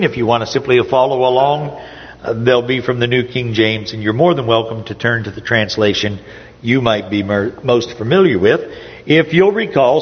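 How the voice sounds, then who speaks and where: A man giving a sermon to his congregation, his voice a little hoarse, speaking conversationally with frequent pauses and fillers. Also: mid-range voice, in a large hall, dull audio. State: slightly hoarse. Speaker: preacher. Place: church sanctuary.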